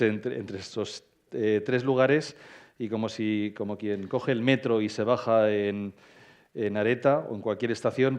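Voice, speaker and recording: A man presents the next speaker at a forum. His voice is low and smooth. He hesitates often and fills the gaps with filler sounds. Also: a little mellow. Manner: hesitant, with many fillers and restarts. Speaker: event presenter. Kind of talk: stage introduction.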